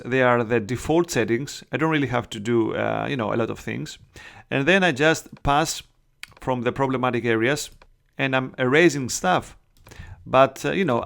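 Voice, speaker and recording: A man with a Greek accent talks through a software tutorial in slightly broken English. His mid-pitched voice has a gravelly edge. He speaks chattily, with frequent "uh" and "you know" fillers, and keyboard typing can be heard behind him. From Greece. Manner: chatty, many "uh" and "you know" fillers, non-native phrasing. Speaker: Photoshop tutorial host. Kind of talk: casual screen-recorded tutorial.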